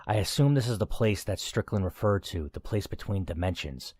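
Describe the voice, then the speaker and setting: A man's voice: lowish voice, animated, brisk, darkish tone, slightly rough, no echo, clean studio sound. A man reading a first-person game story aloud as the player, in a dramatic narrating style.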